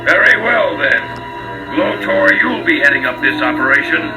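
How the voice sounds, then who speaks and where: A man's voice: a little high, animated, moderate pace, close to an everyday voice. An actor playing a menacing, commanding evil alien king in a children's storybook dramatization. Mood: imperious. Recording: roomy echo with loud music.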